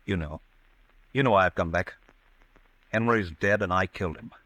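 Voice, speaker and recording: Haunted low, intense man. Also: moderate pace, fairly bright tone, no room sound, low-quality recording. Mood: resigned guilt. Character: haunted, guilt-ridden man convinced of his own crime. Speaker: radio actor. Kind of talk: old-time radio drama acting.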